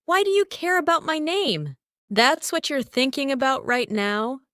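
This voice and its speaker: A woman's voice: sits high, big pitch swings, measured pace, a bit bright, no room sound, studio quality, pitched above the performer's own voice, full character voice. A woman voicing a stoic, guarded male firefighter in a romance audio story, speaking tersely. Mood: flustered. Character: stoic male firefighter in his prime, terse, easily flustered.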